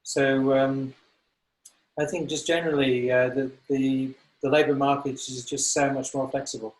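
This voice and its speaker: A man in his sixties with an English accent, a business consultant answering interview questions in a thoughtful, halting way with many fillers and restarts. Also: mid-range voice, flat delivery, reverberant, rough recording. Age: 60s.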